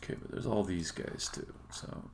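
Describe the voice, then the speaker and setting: A man thinking aloud hesitantly in casual live-coding commentary, as a game developer streaming his work. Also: normal speed. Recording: faint noise, keyboard typing and clicks. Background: keyboard typing and mouse clicking.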